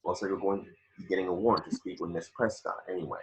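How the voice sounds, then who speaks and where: A man's voice: deep voice, steady tone, very fast, slightly rough, poor audio. A man reading the part of a firm, exasperated veteran homicide detective in an amateur table read of a film script.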